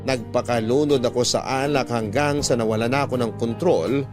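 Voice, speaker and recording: A man's voice: mid-range voice, fairly even intonation, a little fast. A man with a Filipino accent narrating a first-person letter dramatically, in the style of a radio love-story program. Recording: sentimental guitar music under voice. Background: guitar music.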